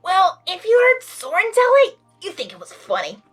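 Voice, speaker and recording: Female reader as brash young pegasus mare, storybook joker, high and swooping delivery. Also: wildly swooping pitch, normal speed, faint music behind, exaggerated voice. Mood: joking.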